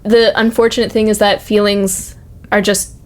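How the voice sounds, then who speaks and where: A young woman talks in a casual, conversational podcast style, with a middling pitch for a woman. She is informal and reflective, telling stories in a loose, hesitant way as she thinks them through. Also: level tone, moderate pace.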